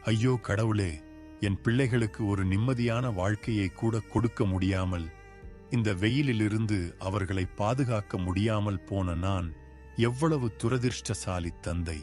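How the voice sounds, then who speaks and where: A man's voice: lowish voice, a little slow, a little mellow, close to an everyday voice. A man voicing a poor village laborer and father, speaking earnestly and pleading, with music behind him. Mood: despairing. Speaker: voice actor. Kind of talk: dubbed cartoon acting.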